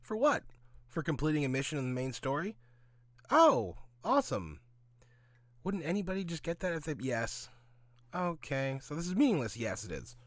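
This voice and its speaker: A man's voice: on the high side, big pitch swings, moderate pace, long pauses, no echo, clean studio sound. A man giving casual, opinionated commentary in a blunt, sweary, sarcastic way, often asking and answering his own questions. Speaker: host of a gaming commentary audio log.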